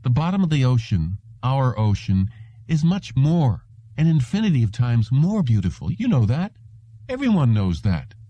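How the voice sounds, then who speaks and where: A man's voice: medium pitch, very animated, normal speed, dark tone, dry recording, pitched above the performer's own voice. A man voicing a cross, nagging, exasperated wife with a harsh, scolding, earnest manner. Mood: earnest pleading.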